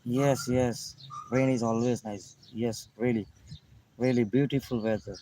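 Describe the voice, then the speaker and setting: A man with a Pakistani accent speaks slow, simple English in a casual chat, often repeating his greetings and thanks. His pitch is ordinary and his pace unhurried. He is outdoors in a rural spot, with faint birds and passing vehicles behind him. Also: fairly even intonation, mellow, a little hiss or hum. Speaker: vlogger chatting with strangers.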